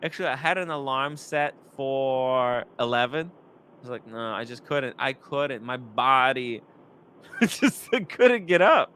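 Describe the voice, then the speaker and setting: A young man hosting a live stream, rambling and animated, reading chat comments aloud. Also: medium pitch, slow, clear, resonant tone.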